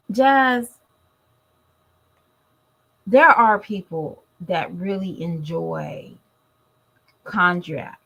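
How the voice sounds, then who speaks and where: Congested, tired woman, slow and gravelly. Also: medium pitch, fairly bright tone, low-quality recording. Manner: chatty, addresses viewers directly. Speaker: vlogger. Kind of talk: casual chat to camera.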